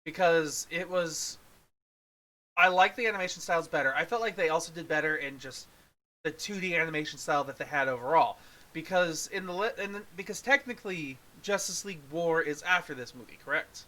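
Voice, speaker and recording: A man with a fairly high, very bright voice in a casual review conversation. He rambles as a co-host and often leaves thoughts hanging halfway through. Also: a little fast. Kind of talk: casual film review chat.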